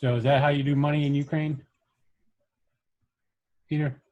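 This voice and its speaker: A man instructing a workshop, explaining things step by step in an informal, halting way as he shares his screen. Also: a little high, little pitch movement, dark tone, highs cut off. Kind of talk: casual screen-share coding tutorial.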